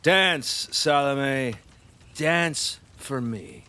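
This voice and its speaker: A man's voice: mid-range voice, sing-song, drawn-out words, pushed and bright, exaggerated voice. A male actor playing a decadent, superstitious middle-aged king in an audio drama, grandiose and volatile, moving between murmurs and cries. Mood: hushed, insistent. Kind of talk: theatrical audio drama acting.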